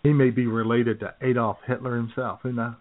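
A man's voice: mid-range voice, little pitch movement, a little fast. A man co-hosting an internet radio show with casual comedic banter, joking and often laughing as he talks. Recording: thin phone-like internet radio audio.

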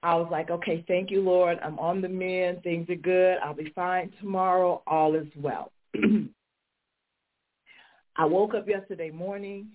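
A woman's voice: fairly low pitch, steady tone, a little slow. Fervent woman preaching over a phone line. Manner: fervent, decreeing, rising to preaching fervor. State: recovering from illness, congested. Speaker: talk show host. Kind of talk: faith-based radio talk, preaching. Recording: tinny phone-line sound.

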